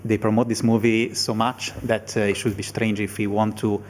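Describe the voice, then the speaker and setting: A man on a film panel answering in a halting way with fillers. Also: on the low side, flat delivery, a little hiss or hum.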